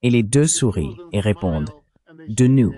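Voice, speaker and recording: Low-pitched synthetic male commentator. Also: lively intonation, a little slow, mellow. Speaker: political and economic commentator. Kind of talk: translated voice-over of a panel discussion.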